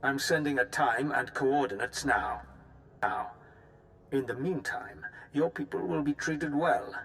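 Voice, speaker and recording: An actor playing a smug, menacing baron in a video game, formal and condescending, with civil politeness over threat. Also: male voice, mid-range voice, animated, unhurried, fairly bright tone. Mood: smug, threatening. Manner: smooth-talking, condescending, formal. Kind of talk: cinematic video game villain acting.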